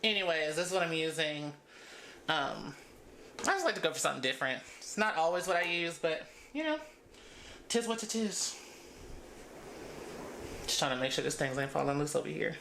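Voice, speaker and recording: A woman's voice: sits low, expressive, normal speed, creaky, long pauses, a bit bright, echoey room, a little hiss or hum. A woman in her thirties chatting casually as she braids her hair for her vlog, laughing often between thoughts. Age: 30s. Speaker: beauty vlogger.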